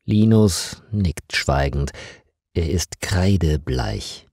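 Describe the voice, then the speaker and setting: A man reading a mystery story for language learners in a deliberate, carefully phrased scripted narration. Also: sits low, lively intonation, a little mellow, slightly rough, no echo, studio quality.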